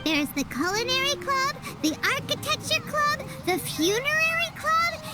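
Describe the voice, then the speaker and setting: A tiny, excitable game fairy chirps in a very high, childlike voice. Delivery swoops eagerly, bubbly and exclamatory, over prominent music. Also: female voice, a little slow, exaggerated voice. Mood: eager storytelling. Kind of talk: video game character acting.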